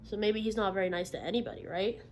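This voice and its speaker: Expressive woman reading a storybook aloud, background noise. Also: mid-range voice, fast talker. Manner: encouraging, checks understanding with frequent questions. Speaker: teacher reading a picture book aloud to children. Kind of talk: interactive storybook read-aloud with questions to the listeners.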